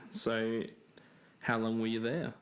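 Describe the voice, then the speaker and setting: An actor playing a hesitant, low-key podcast interviewer questioning a friend in a naturalistic audio drama. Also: monotone, slow pace, natural voice. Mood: careful, hesitant.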